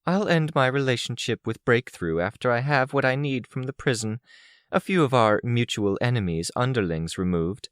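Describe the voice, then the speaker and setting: A commanding, goddess-like woman, put on by a male narrator for an audiobook. The voice is raised in pitch, formal and coolly controlled, in a dry recording. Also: animated, normal speed, smooth, dry recording, lightly acted. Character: imperious, cool commanding woman with godlike authority.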